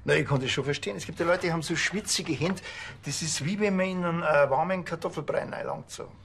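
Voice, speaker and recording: A man's voice: fairly high pitch, fast talker, vocal fry, a bit bright, slight background noise. An actor with a Bavarian accent playing a laconic, wry policeman, colloquial and teasing. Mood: matter-of-fact.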